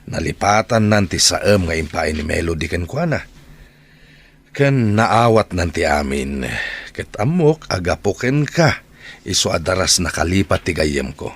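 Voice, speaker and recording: A man's deep voice with an Ilocano Filipino accent , acted for a radio-style drama. He plays a loyal friend giving earnest, serious advice. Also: husky, heavily acted. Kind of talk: Ilocano audio drama acting.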